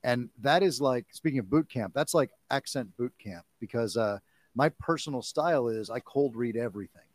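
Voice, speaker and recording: Man, 40s, guest actor, animated and jokey, dry close sound. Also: mid-range voice, no room sound. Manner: animated and jokey, quick to laugh. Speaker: guest, actor and audiobook narrator. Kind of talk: casual chat.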